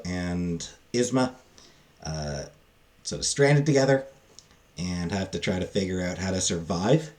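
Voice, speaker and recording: Halting, low-voiced man reviewing casually. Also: a little mellow. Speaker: book reviewer. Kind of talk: casual unscripted book review.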